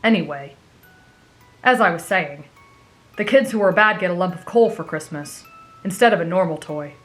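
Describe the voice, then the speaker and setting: A woman's voice: fairly low pitch, lively intonation, normal speed, fairly bright tone, soft background music, rough recording. A woman voicing an emotional, cynical teenage boy demon slayer, grumbling and easily exasperated, in an anime fan audio roleplay. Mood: exasperated explaining.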